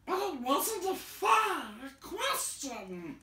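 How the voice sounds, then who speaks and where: Wretched, possessive storybook creature: shrill hissing, slow agitated wailing, wildly swooping pitch, echoey room. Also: male voice, wildly swooping pitch, pushed and bright, heavily acted. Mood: suspicious, demanding. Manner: wailing, drawn-out, agitated repetition. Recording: echoey room, distant from mic.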